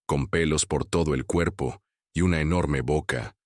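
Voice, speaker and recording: Very deep-voiced male horror storyteller. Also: moderate pace, no room sound, studio quality.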